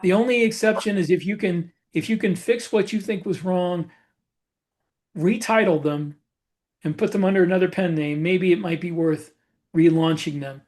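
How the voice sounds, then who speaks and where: A man's voice: high-pitched, normal speed, a little mellow. A man chatting casually as a podcast host, giving advice in a matter-of-fact way. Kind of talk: casual advice chat about book publishing.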